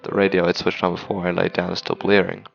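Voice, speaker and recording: Deep, gravelly-voiced man reading aloud. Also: completely flat delivery, fast talker, dull audio, soft background music. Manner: stumbles over words while reading. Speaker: gaming video host. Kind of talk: reading a visual novel aloud with casual asides.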